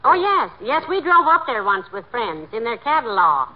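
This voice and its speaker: An actress playing a sweetly teasing, sensible middle-aged American wife in a 1940s radio comedy, with dry wit. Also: female voice, on the high side, very animated, normal speed. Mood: bright, sociable. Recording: dull vintage 1940s radio recording.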